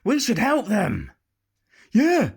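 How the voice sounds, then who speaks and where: A man voicing a rough, lustful pirate crewman in an audiobook, calling out loudly and eagerly. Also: very high voice, wildly swooping pitch, slow, voice pushed up, exaggerated voice. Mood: lustful excitement.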